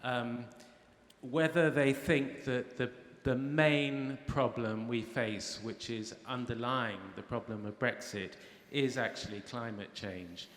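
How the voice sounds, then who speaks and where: A man's voice: medium pitch, fairly even intonation, slow, fairly bright tone. A man from West Wales in the audience, putting a question to a panel with growing passion. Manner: impassioned, with rising outbursts. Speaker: audience member asking a question. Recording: roomy hall with faint ambience.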